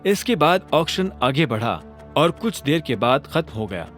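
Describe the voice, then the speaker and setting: A man narrating an audio drama story in an expressive, scripted storytelling manner. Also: a little high, unhurried, faint music behind.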